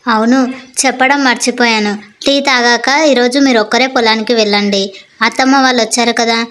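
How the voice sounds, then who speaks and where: A woman's voice: a little high, fairly even intonation, a little fast, a bit bright, close to an everyday voice. A woman acting a lazy, sleepy young wife who bosses her husband, whiny and dismissive, in a moral story. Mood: brisk, sweet. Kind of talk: acted Telugu moral story dialogue.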